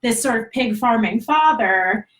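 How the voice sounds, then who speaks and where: A woman's voice: a little high, lively intonation, measured pace, a bit bright. A woman hosting a live interview, upbeat, with hedging phrases like "sort of" and "you know". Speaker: interviewer, magazine editor. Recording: roomy echo.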